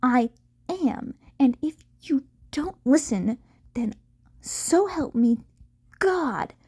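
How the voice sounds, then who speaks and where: A woman's voice: sits high, expressive, extremely slow, darkish tone, breathy edge, exaggerated voice. A woman reading the part of a bossy, brash female superhero mentor in a story, curt and commanding. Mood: stern, threatening.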